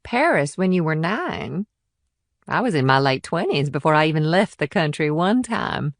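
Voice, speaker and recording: A woman's voice: deep voice, very animated, normal speed, no room sound, clean studio sound. A woman playing a confident, proud and flirtatious innkeeper in audiobook dialogue, with a poised, self-assured manner. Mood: inviting, flirtatious. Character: confident, proud, flirtatious businesswoman running an upscale inn.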